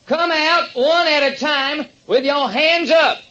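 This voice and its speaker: An actor playing a police lieutenant who talks loudly and emphatically in a raised voice. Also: male voice, slow, heavily acted. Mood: confident. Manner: loud, emphatic, high-pitched. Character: anxious police lieutenant, boastful then worried. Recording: dull lo-fi old radio broadcast.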